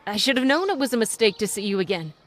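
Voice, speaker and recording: An actress playing a gentle, guarded young mother, proper and hesitant, in an old-time radio drama. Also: medium pitch, very animated, fast. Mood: defensive.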